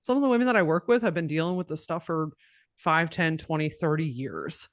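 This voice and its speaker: Blunt low-voiced woman, dry sound. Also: expressive, a little fast, no room sound. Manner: blunt, direct, cusses freely, laughs often. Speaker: podcast guest and coach. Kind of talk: casual podcast conversation.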